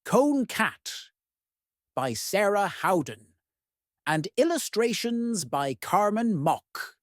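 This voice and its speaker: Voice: mid-range voice, very animated, slow pace, no echo, studio quality. A storyteller reading a children's picture book aloud expressively, addressing young listeners. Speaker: read-aloud host.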